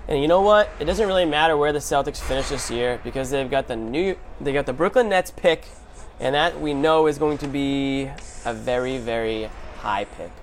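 A man's voice: mid-range voice, moderate pace. Male sports host, mid-range voice, slangy conversational commentary, some background noise. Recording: clear background noise and hum.

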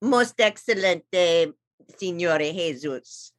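Woman playing jovial Italian squirrel, lively sing-song lilt, dry close mic. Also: on the low side, slow pace, no room sound. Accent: Italian (put on). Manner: theatrical, lilting, sing-song delivery. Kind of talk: comedic character call-in.

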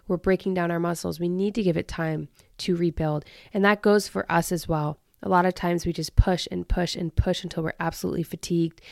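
A young woman hosting her own podcast in upbeat, chatty talk, her voice a bit lower and rougher after allergies early in the morning. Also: darkish tone, no echo, clean studio sound. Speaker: podcast host. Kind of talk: casual solo podcast talk.

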